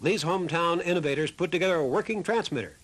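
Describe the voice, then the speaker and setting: A man's voice: on the high side, fast, a bit bright. A man reading scripted narration for a historical documentary in the role of narrator.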